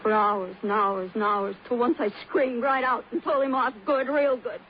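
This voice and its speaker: Woman playing a high-voiced, brittle American teenage girl. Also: fairly even intonation, normal speed, natural voice. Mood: bitter, intense. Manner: dreamy, rambling, flippant. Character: frail, brittle, defiant teenage working girl, female. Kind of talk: 1950s radio crime drama acting. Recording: muffled old radio recording, faint noise.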